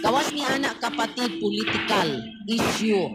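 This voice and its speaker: Davao filipina radio news lady, higher voice, chatty and opinionated, loud music under her. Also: moderate pace. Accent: Filipino, Davao Bisaya. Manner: informal, opinionated, addresses co-anchor. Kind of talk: conversational radio news commentary. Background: loud music bed.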